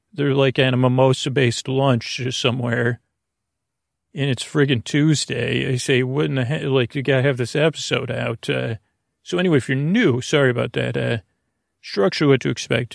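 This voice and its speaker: A man with a gravelly, creaky voice at an ordinary male pitch hosts a sleep podcast in a close, dry recording. He rambles casually, stammering and wandering off into tangents. His manner is hesitant and gently reassuring. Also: dry recording. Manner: meandering, hesitant, self-interrupting with stammers and tangents. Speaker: podcast host.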